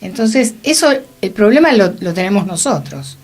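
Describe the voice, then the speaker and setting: A woman's voice: medium pitch, expressive, moderate pace. A woman with an Argentine accent in a conversational radio panel discussion.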